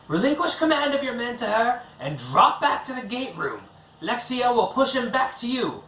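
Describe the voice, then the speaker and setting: A man's voice: very high voice, big pitch swings, normal speed, pushed and bright, reverberant, recorded from a distance, pitched above the performer's own voice, exaggerated voice. A man voicing a commanding female fantasy villain who hisses urgent military orders in a storybook reading. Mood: cold, commanding. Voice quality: hissing.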